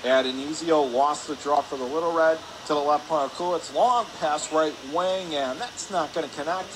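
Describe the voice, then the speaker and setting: A man doing live radio play-by-play of a hockey game in brisk, clipped calls. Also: fairly high pitch. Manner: brisk clipped present-tense calls, rising on shots. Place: indoor ice rink. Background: loud arena ambience noise.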